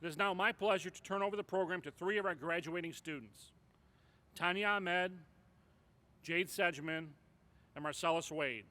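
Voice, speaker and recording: Bright-toned man announcing at a ceremony. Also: high voice, normal speed, long pauses. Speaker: ceremony official introducing speakers.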